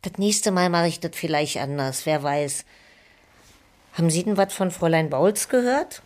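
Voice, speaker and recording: A woman's voice: on the low side, expressive, normal speed, darkish tone, natural voice. Actress playing a plaintive, gossipy middle-aged landlady. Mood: confiding. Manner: colloquial, sighing, complaining. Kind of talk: German radio play dialogue.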